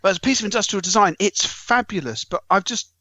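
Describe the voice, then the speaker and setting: A man's voice: high voice, fast talker, pushed and bright, no echo, lo-fi sound. A British man reviewing tech on a podcast in a wordy, wry manner with formal turns of phrase. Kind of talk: podcast discussion of home tech.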